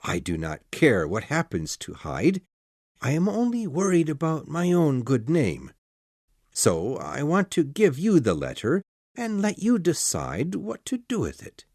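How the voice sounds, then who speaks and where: A pale, shaken doctor with a thin, weak voice, read by a man, pleading anxiously. He speaks slowly, his pitch rising and falling widely. The sound is close and dry. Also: huge pitch swings, darkish tone, no room sound, heavily acted. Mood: calmer. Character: pale shaken middle-aged male doctor, nervous. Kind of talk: audiobook dialogue.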